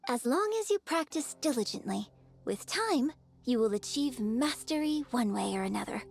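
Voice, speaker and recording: An actress playing a gracious opera performer in a video game, coaching patiently with polished, gentle, encouraging delivery. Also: female voice, a little high, lively intonation, normal speed, vocal fry, slightly rough, heavily acted. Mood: poised, encouraging. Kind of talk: scripted video game voice acting.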